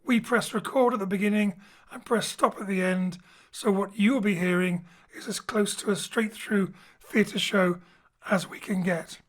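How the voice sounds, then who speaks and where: Male theatre director introducing an audio drama. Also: high-pitched. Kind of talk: informal spoken introduction, explanatory. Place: home studio, remote link.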